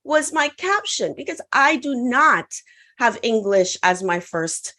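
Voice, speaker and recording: A woman's voice: on the high side, sing-song, slow pace, bright, forceful tone. A woman from the Caribbean whose first language is French, giving an online conference talk in an upbeat coaching manner and often asking the audience to answer in the chat. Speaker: conference speaker.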